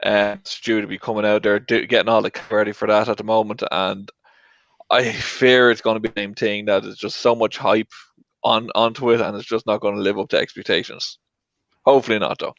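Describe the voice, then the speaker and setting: A man of average pitch hosts a casual panel show. His voice is recorded dry and close to roomless, with a slightly dull, lo-fi sound. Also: little pitch movement, brisk, a bit bright, dry recording. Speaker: host.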